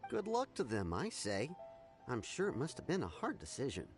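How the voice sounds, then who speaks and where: A man's voice: medium pitch, huge pitch swings, normal speed, faint music behind. An actor playing an easygoing, cheerful townsman in scripted game dialogue. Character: easygoing, cheerful townsman chatting about gossip.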